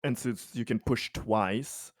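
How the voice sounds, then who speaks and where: A man's voice: medium pitch, slow, dry recording. Joking man, dry close sound. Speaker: tabletop game player. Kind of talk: casual joking chat.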